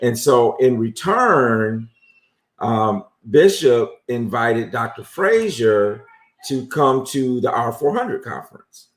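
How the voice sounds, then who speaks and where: A man hosting a live interview show, talking in a relaxed, friendly way with lots of fillers. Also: mid-range voice, slow, reverberant. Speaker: host. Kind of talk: casual interview on a live stream.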